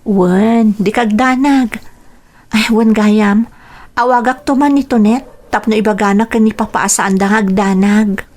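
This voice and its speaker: A woman playing a gentle, troubled young runaway daughter in a radio drama, plaintive and confiding, with a Filipino accent. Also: mid-range voice, unhurried, close to an everyday voice. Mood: weary, resigned. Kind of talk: radio drama acting and narration.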